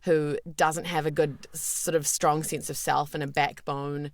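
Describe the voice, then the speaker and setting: A woman with a naturally deep, low voice answers questions as a guest voice actor. She talks openly and thoughtfully, often restarting her sentences. The sound is dry and close. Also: flat delivery, a little slow, no room sound. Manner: candid and reflective, with many fillers and restarts. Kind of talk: podcast interview.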